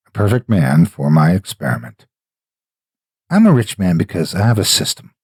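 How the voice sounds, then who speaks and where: A man narrating a horror story in a deliberate, dramatic manner with measured pauses. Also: sits low, sing-song, a little mellow, breathy edge, no room sound, clean studio sound. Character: a wealthy, cold, calculating gambler narrating his own experiment.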